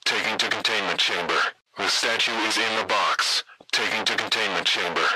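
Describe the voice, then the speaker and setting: A man's voice: lowish voice, moderate pace, bright, forceful tone, breathy edge, no room sound, exaggerated voice. A man playing a terse, alert containment guard, giving clipped procedural call-outs over the radio. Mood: focused, businesslike. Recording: very noisy radio transmission.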